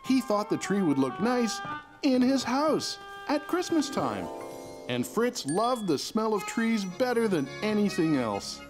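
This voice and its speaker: An actor playing a gentle, patient young father in a children's cartoon, soothing and a little teasing. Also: mid-range voice, very animated, a little slow. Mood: warm storytelling. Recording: music under the voice.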